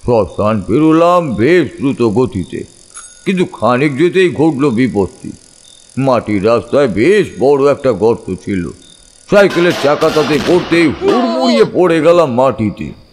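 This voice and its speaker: Expressive male ghost story narrator. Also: medium pitch, husky. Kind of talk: dramatic scripted first-person ghost story narration. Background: background music.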